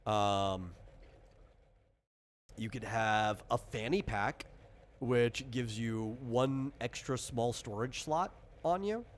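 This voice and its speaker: A man's voice: mid-range voice, takes it slowly. A man acting as game master, casually explaining tabletop game rules and thinking aloud as he goes. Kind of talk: casual explanatory chat during character creation.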